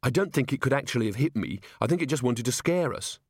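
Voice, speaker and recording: A man's voice: medium pitch, animated, fast, husky, no room sound, studio quality. A man voicing an earnest, polite young watchman in an audiobook, explaining things patiently and precisely. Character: earnest, polite, literal-minded young male city watchman. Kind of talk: audiobook character voice.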